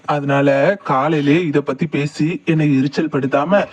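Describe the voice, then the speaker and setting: A man's voice: a little high, steady tone, unhurried, highs cut off. A man playing a good-hearted village farmer in a cartoon story, earnest and plain-spoken. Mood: irritated. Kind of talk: cartoon voice acting.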